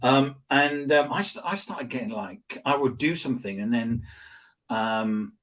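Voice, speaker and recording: A man being interviewed as a guest expert, telling anecdotes in a rambling, animated way with lots of restarts and fillers. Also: mid-range voice, measured pace, rough recording. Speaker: guest, social selling expert. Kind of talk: conversational interview storytelling.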